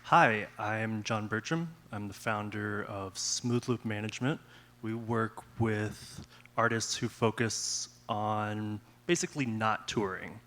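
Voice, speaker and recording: Measured low-voiced man on a panel. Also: a bit bright, slight background noise. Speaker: artist management founder and panelist. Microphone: handheld mic.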